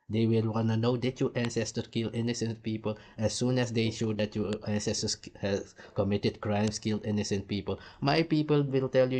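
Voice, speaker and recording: A man's voice: medium pitch, darkish tone. A man from Suriname, hosting a heated, blunt and profane reaction commentary. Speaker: commentary host.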